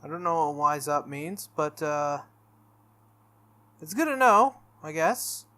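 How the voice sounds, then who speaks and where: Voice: medium pitch, animated, slow, sharp tone. A video game playthrough host reading a visual novel aloud and breaking off for casual commentary. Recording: music under the voice. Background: game music.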